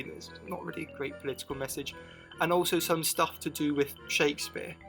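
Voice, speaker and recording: A man's voice: a little high, a bit bright. A man narrating a scripted retrospective video essay, adding his own opinions. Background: music bed.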